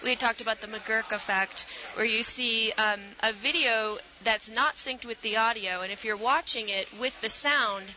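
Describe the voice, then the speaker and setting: A woman in her thirties speaks as a radio guest in a very bright, slightly high voice. She explains things thoughtfully, filling pauses with ums, and a faint hiss runs behind her. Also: poor audio. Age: 30s. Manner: thoughtful, many ums, laughs lightly. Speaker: artist and college professor.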